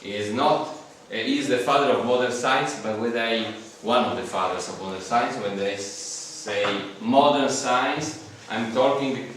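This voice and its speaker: A man's voice: medium pitch, a bit bright, far from the mic, a little hiss or hum. Italian man lecturing in an echoey hall. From Italy. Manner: halting, many fillers and restarts. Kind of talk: guest seminar lecture in English.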